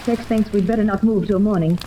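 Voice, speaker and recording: A woman's voice: mid-range voice, steady tone, close to an everyday voice. An actress playing an anxious, refined wealthy woman with a hesitant manner in a 1930s radio serial. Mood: curious. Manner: hesitant, halting. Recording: hissy 1930s radio recording.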